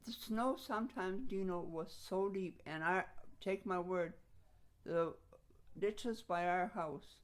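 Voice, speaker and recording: An older woman from Slovakia whose first language is Slovak, with a slightly low-pitched voice. She reminisces casually as an interviewee. Her talk is halting, and she circles back to repeat phrases. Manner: halting, repeats phrases, chuckles while recalling.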